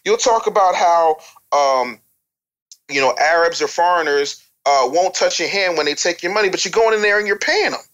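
A man's voice: fairly high pitch, animated, normal speed, a bit bright, low-quality recording. Emphatic American man telling it straight. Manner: emphatic, storytelling, repeats phrases for effect. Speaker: interview guest. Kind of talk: opinionated casual talk show conversation.

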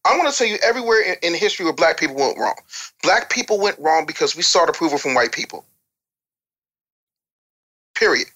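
A man's voice: a little high, very animated, a little fast, long pauses, bright, forceful tone. A man with an American accent arguing his opinions assertively, repeating points for emphasis in casual speech. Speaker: podcast panelist. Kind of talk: opinionated discussion.

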